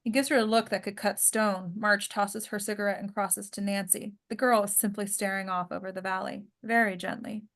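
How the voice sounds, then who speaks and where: A woman narrates a screenplay at a table read. Her pitch is middling and she reads out scene descriptions as scripted narration. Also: little pitch movement, brisk, hardly any pauses, a bit bright. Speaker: narrator of stage directions.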